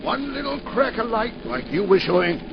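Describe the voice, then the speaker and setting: Gravelly, pushy village grocer. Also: male voice, sits high, very animated, moderate pace, exaggerated voice. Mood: pushy salesman. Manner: chatty, salesman patter. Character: pushy middle-aged male village grocer and air warden. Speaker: air raid warden selling groceries. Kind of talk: 1940s radio acting. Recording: dull 1940s radio broadcast, noisy.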